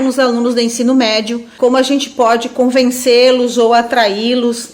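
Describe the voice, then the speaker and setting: Woman, southern Brazilian accent, slightly high pitch, informal academic talk, reflective, frequent tag questions. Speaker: university professor, webinar speaker.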